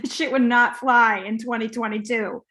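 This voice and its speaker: A woman reads the part of an entitled young movie star who is a bit clueless. The voice is fairly high, delivered as in a live comedy script reading. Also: steady tone, moderate pace, fairly bright tone, close to an everyday voice. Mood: casual. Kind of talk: live comedy table read.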